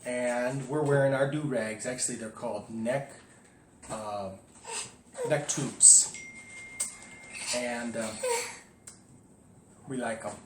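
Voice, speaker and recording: A man's voice: mid-range voice, steady tone, slow, long pauses. A man, a priest, speaking casually and candidly to his parishioners in a home video, with emphatic rises. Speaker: Orthodox priest. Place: indoors at home. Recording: echoey room with faint noise.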